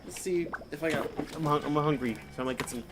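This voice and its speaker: A man's voice: on the high side, fast talker, background noise. A man livestreaming a game, chatting casually in rambling, joking asides, with faint game sound behind.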